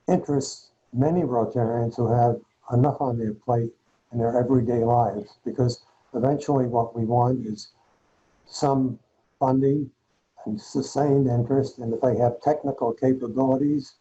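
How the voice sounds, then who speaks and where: A man with a very dark, mellow voice explains points at a measured pace on a panel. His audio comes through muffled and lo-fi. His pitch is ordinary for a man. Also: fairly even intonation. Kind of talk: panel discussion. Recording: muffled lo-fi video call audio.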